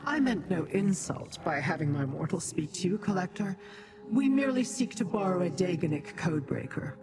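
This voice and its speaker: An actress playing a lofty otherworldly being in a fantasy video game, formal and condescending toward mortals. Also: female voice, lowish voice, lively intonation, normal speed, over music, close to an everyday voice. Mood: formal, diplomatic.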